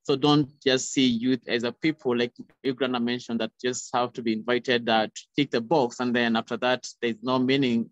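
Young male panelist, mid-pitched, muffled dry video call sound. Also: flat delivery, normal speed, hardly any pauses, dry recording. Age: young adult. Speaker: youth panelist. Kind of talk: panel discussion answer. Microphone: phone at arm's length on video call. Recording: dull, lo-fi video call audio.

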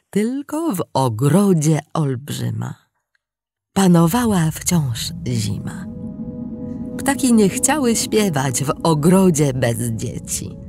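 A woman narrating a scripted tale dramatically, deliberate and expressive, with pauses and hushed phrase endings. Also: sits low, creaky, breathy edge, no echo. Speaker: storybook narrator.

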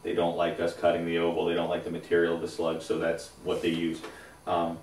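A man in his thirties co-hosting a bowling advice show, explaining in a relaxed way with fillers in casual chat. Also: sits low, fairly quick, a little hiss or hum. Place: indoors, small studio against a plain backdrop. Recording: roomy echo, voice a bit far from mic.

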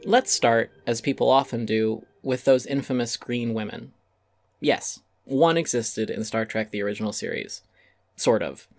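A man narrates an essay in a dramatic reading, with a medium-pitched voice. He is articulate and emphatic, with a wry edge. The sound is dry and close to the mic. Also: normal speed, a little mellow, no room sound.

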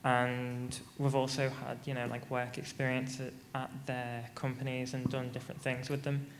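Teenage student with a handheld mic. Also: male voice, medium pitch, monotone, moderate pace, slight background noise. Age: teens. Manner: hesitant, many ums and likes. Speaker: student panelist. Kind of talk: panel conversation answers. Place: large conference stage. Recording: reverberant conference hall.

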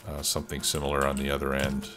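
Congested male gameplay host, bass-low voice, relaxed thinking-aloud delivery, music bed under it. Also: fast talker. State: stuffed up with a cold. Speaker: let's play host. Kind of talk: casual gameplay commentary. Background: background music and keyboard typing.

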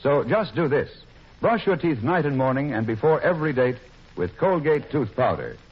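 A man's voice: medium pitch, sing-song, normal speed, breathy edge. A man announcing a scripted 1940s radio commercial in a formal, emphatic manner. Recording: muffled 1940s radio recording.

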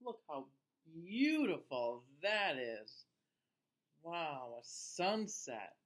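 A man in his thirties reading a picture book aloud to children like a teacher, with an exaggerated, drawn-out delivery. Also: a little high, sing-song, fairly bright tone. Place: small indoor room, seated facing camera.

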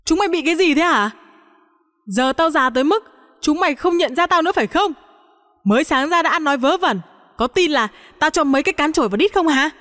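A woman's voice: high-pitched, lively intonation, moderate pace, fairly bright tone, voice pushed up. A woman voicing a bewildered, feisty grandmother in a young body, scolding and exclaiming indignantly. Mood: furious. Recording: long hall-like reverb on the voice.

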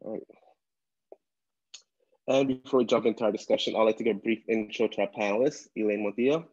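A man's voice: fairly low pitch, steady tone, quick pace, a little mellow, no echo. A man in his thirties hosting a live online interview, reading introductions with small slips, on a video call from a room. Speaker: event host. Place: indoor room at a desk chair. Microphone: webcam on a video call. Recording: dull lo-fi video call audio.

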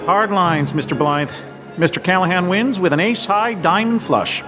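An actor playing a courteous riverboat card dealer in a western video game, polite and formal. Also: male voice, high voice, normal speed, sharp tone, faint music behind. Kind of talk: video game cutscene acting.

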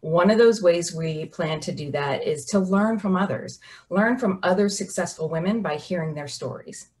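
A woman's voice: on the low side, moderate pace, a little mellow. A woman in her forties hosting an interview over a video call from a home office, reading prepared remarks in a polished, upbeat way. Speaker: host. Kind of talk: scripted introduction and interview.

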